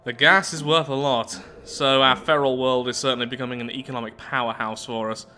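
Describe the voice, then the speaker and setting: A man with a mid-pitched, bright voice hosts a game playthrough. He moves between reading story text aloud and chatting to himself about what happens. Faint music plays underneath. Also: fairly even intonation, brisk. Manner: talks to himself, reacts aloud to events. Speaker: gaming video host. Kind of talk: game playthrough commentary mixing read-aloud event text with casual asides.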